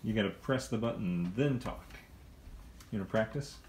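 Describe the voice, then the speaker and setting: A man's voice: on the low side, moderate pace, darkish tone. A middle-aged man chatting casually at home, patiently giving instructions like a parent. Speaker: parent coaching children. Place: living room at home, indoors. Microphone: behind a handheld phone camera. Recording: some room noise, home phone video.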